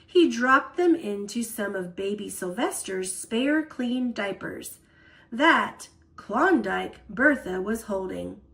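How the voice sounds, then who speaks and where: A woman storyteller reading a children's picture book aloud in an expressive style. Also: fairly high pitch.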